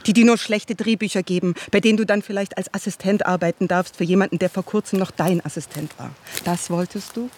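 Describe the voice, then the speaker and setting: A woman's voice: medium pitch, fairly even intonation, brisk, few pauses, a little mellow, slight background noise, close to an everyday voice. An actress playing a skeptical, ironic wife who questions her husband's story in a radio drama. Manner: skeptical, probing, sometimes whispering.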